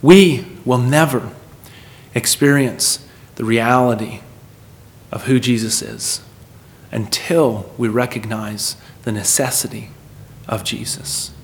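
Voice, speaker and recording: A man's voice: medium pitch, fairly even intonation, slow, a little hiss or hum. A man preaching a sermon in an earnest, emphatic manner, repeating phrases for weight and putting questions to his listeners.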